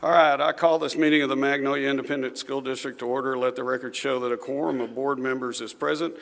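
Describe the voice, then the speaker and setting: Slightly raspy man chairing a board meeting. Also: medium pitch, quick pace, slight background noise. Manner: relaxed, joking asides. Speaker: school board president. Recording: reverberant meeting hall.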